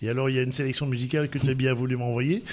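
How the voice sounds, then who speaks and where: A man's voice: medium pitch, level tone, very fast. A man hosting a radio interview, friendly and chatty, with many fillers as he asks his questions. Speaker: radio host.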